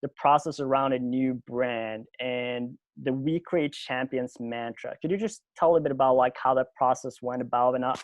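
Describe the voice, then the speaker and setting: A man hosting a podcast interview over a video call, speaking English as a second language, halting, with many fillers and restarts. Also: mid-range voice, darkish tone, no echo, rough recording. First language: not English. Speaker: podcast host.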